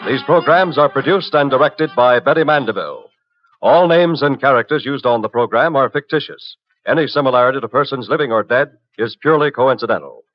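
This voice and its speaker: A man's voice: medium pitch, fairly quick. A man reading scripted closing credits as an old-time radio announcer. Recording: muffled old radio broadcast recording. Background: faint music.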